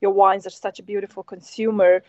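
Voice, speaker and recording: A woman in her thirties from Croatia with a Croatian accent, a winemaker chatting in an interview, eager and halting with many ums. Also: medium pitch, little pitch movement, dark tone, no echo. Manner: hesitant, many ums, enthusiastic. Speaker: winemaker guest. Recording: dull, muffled sound.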